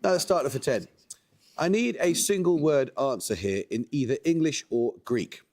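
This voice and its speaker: A man hosting a quiz show, reading questions and introductions with a measured, precise delivery. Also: mid-range voice, lively intonation, darkish tone.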